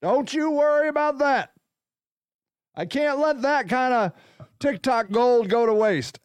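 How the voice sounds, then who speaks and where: A man hosting a podcast in a loud, profane, ranting monologue. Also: very high voice, clean tone, no echo. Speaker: podcast host. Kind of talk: casual monologue.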